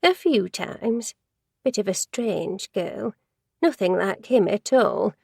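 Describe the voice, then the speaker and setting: A woman's voice: medium pitch, big pitch swings, slow pace, gravelly, a little mellow, breathy edge, no room sound, studio quality, full character voice. A woman voicing a gossipy, judgmental older woman who works in an office, rambling and easily sidetracked in a storybook reading.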